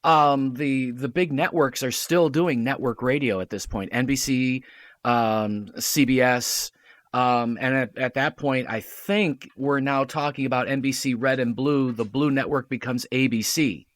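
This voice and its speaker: Guest, male, knowledgeable explainer, mid pitch, dry mic. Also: a little slow, no room sound. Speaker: guest radio drama producer. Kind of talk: casual chat.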